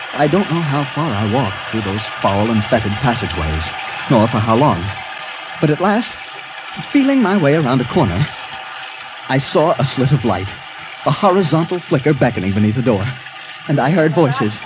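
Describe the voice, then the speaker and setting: A man's voice: medium pitch, natural voice, poor audio. An actor playing a fussy, anxious traveler, hesitant and a little prim, narrating his misadventure in a radio drama. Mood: anxious, distressed. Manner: hesitant, stammering, slightly prim.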